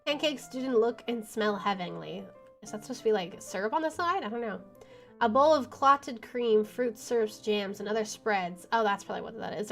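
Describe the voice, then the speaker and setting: A woman's voice: a little high, a bit bright. A woman playing a visual novel, reading its narration aloud and reacting chattily between passages. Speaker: game streamer.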